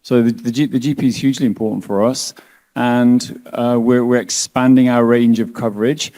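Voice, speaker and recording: A man on a finance panel, talking in a halting way with many restarts and a self-deprecating touch. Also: mid-range voice, steady tone, dark tone, big-room sound. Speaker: lender panelist. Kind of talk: panel discussion.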